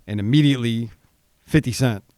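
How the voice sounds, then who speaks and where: A man's voice: medium pitch, a little fast, dark tone, dry recording. Rambling curious male host, dry sound. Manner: curious, rambling questions with restarts. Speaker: show host. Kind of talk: casual interview hosting.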